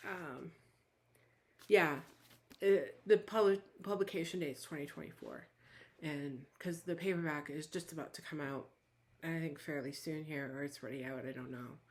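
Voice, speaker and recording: A woman with a hoarse, somewhat low voice talks through a book review in a loose, chatty way. She wanders, restarts her sentences and fills the gaps with little fillers as she goes. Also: normal speed. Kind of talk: casual book review chat.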